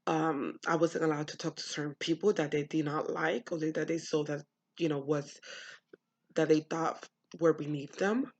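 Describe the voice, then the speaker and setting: A woman's voice: deep voice, steady tone, moderate pace, lo-fi sound. A young adult woman from the United States talking bluntly and frankly to camera about her upbringing, swearing freely. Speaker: vlogger. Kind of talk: candid personal monologue.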